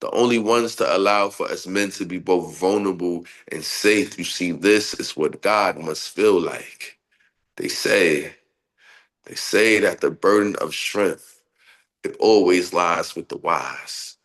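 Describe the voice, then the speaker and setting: A man's voice: sits low, a little slow. A man with a stuffy, nasal voice from a cold, talking casually in an interview and then performing spoken-word poetry with rhythmic delivery. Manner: expressive, laughs often, rhythmic poetic delivery. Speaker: poet guest.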